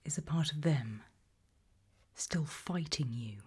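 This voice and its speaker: A British woman playing a composed, probing, ominous interrogator in a whispered, breathy ASMR roleplay, questioning deliberately. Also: deep voice, animated, a little mellow, exaggerated voice. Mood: eerie, probing. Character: composed, probing female interrogator of possessed people at a sinister institute.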